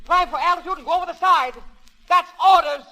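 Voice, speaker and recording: A man's voice: extremely high pitch, brisk, sharp tone, heavily acted. A man playing a dutiful, urgent airfield radio operator in a 1930s-style radio drama, making clipped, insistent calls.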